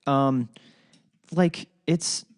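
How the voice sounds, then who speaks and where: A man's voice: medium pitch, drawn-out words, no room sound, clean studio sound. A young man from Ohio with a Midwestern accent, hosting a solo podcast in a rambling, profane, casual way. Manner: rambling, profane, full of fillers and restarts. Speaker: podcast host.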